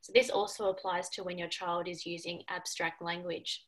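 A young woman reads through a prepared presentation on a video call. Her voice sits a little low for a woman, and she explains each point in a measured, orderly way. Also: flat delivery, a bit bright, low-quality recording. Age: 20s. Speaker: student presenter. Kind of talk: scripted presentation. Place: small furnished room at home, indoors. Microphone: video call on a computer.

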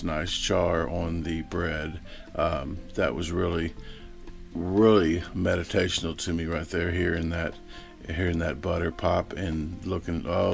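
A man talks over the footage in an easygoing, meandering way, dropping ums and uhs as he goes. His voice sits very low with a rough, gravelly edge. Faint music plays underneath. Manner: rambling, laid-back, full of ums and uhs. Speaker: food reviewer. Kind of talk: casual voice-over narration.

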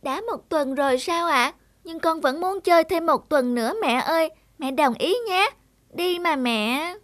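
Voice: high voice, a little slow, fairly bright tone, dry recording, studio quality. An actor voicing an eager, affectionate young boy with a polite, pleading manner in a children's cartoon. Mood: cheerful, pleading. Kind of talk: dubbed children's cartoon dialogue. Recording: music behind the voice.

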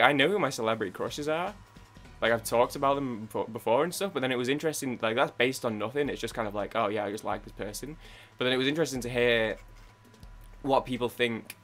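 A young man giving casual, rambling commentary over a video game, often stopping mid-word and restarting, with game music playing behind him. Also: medium pitch. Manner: rambling, self-interrupting, hums and sings along. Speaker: gaming YouTuber.

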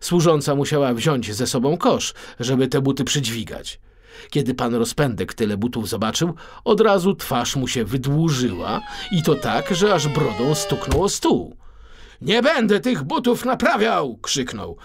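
A man reading a children's story as an expressive, theatrical audiobook narrator. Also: mid-range voice, normal speed, husky.

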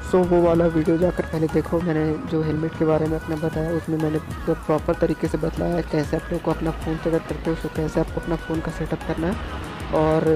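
A man's voice: a little high, completely flat delivery, fast, over music. A young man from India narrating a casual moto vlog from a scooter in traffic, recorded on a phone on his helmet mount. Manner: rambling, repeats himself, chatty. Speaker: moto vlogger. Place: outdoors on a scooter in road traffic. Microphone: phone on helmet chin mount.

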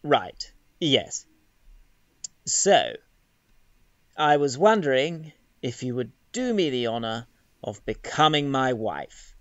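An actor playing a polite, easily flustered young British gentleman suitor in a period audio drama, formal and hesitant. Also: medium pitch, big pitch swings, takes it slowly, pauses often, no echo, muffled recording.

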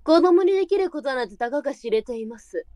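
Woman acting composed, high-voiced brothel madam. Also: lively intonation, fast, warm tone, no room sound. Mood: cool, probing. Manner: polite, coolly businesslike. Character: composed, shrewd brothel madam of old Japan. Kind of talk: Japanese period audio drama acting.

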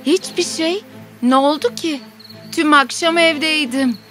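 A woman playing a kind, gentle young stepdaughter in an animated fairy tale. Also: sits high, a little slow, soft background music. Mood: pleading.

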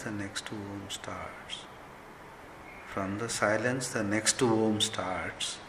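A man leading a guided meditation as a spiritual teacher, giving gentle instructions in short, measured phrases. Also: on the low side. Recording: long hall reverb, faint background noise.

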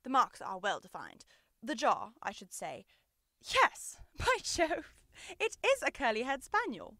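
A woman's voice: a little high, huge pitch swings, a little slow, sharp tone, dry recording, studio quality, exaggerated voice. A young woman playing a brilliant, self-assured male Victorian detective in a theatrical, precise radio drama style. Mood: triumphant. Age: young adult. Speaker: student actor.

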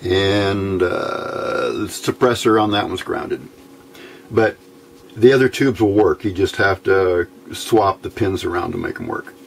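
A man giving an informal, unscripted hands-on tutorial in a plain-spoken, halting way, recorded indoors at a workbench on a camera mic. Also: sits low, creaky, a little hiss or hum. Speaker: host of a hands-on electronics tutorial.

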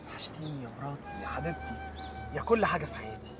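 A gushing starstruck young cop. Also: male voice, sing-song, over music. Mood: tender. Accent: Egyptian Arabic. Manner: gushing, fast, breaks into high laughs. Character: excitable young police officer fan, man. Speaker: comedy film character.

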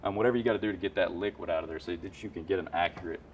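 A man's voice: low voice, rapid-fire, recorded from a distance, dull audio, a little hiss or hum. A man in his thirties, an HVAC technician, explaining tools in casual, enthusiastic shop talk with lots of fillers. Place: warehouse parts room with shelves.

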